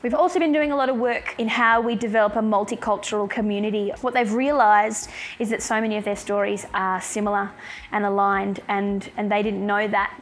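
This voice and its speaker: A woman, a youth arts facilitator, talking earnestly in a documentary interview. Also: medium pitch. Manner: earnest, thoughtful. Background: soft music.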